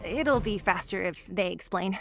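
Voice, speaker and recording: A woman's voice: medium pitch, moderate pace, gravelly, a bit bright. A woman voicing a tough young underground fighter in a video game, brusque and matter-of-fact. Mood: brisk, dry. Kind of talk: scripted video game voice acting. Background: game music.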